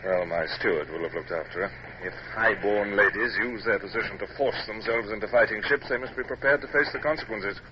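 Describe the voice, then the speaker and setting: An actor playing a weary, stern British naval captain with an English accent, in formal old radio drama style. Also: male voice, fairly low pitch, a little fast, close to an everyday voice. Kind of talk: old radio drama acting and first-person narration. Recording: dull, noisy old radio recording.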